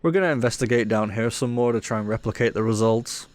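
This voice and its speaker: A man's voice: medium pitch, fast, natural voice. A man playing a cocky, irreverent ghost hunter in an audio roleplay, with a teasing, offhand manner. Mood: skeptical. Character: cocky, irreverent male ghost hunter showing a rookie around. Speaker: paranormal investigator character.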